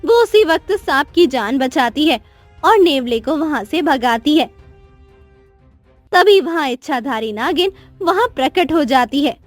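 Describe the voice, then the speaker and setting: A woman's voice: sits high, lively intonation, measured pace, no echo, soft background music. A woman narrating a scripted cartoon moral story as storyteller.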